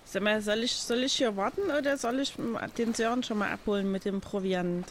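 A man's voice: high voice, fairly even intonation, brisk, a little hiss or hum. A man playing an obliging, casual and hesitant pirate rower in an improvised audio play.

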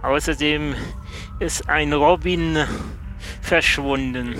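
A man's voice: a little high, steady tone, slow pace, a bit bright, faint music behind. A man playing a big, wary adventurer in an improvised audio drama, blunt and laconic in his delivery. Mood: matter-of-fact. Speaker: listener guest player.

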